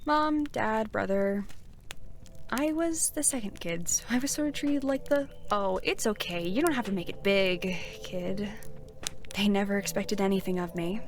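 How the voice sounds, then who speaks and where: A woman playing a brash, resentful eighteen-year-old knight in a fantasy audio drama, talking bluntly and sarcastically. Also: on the high side. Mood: casual, wry. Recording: music behind the voice.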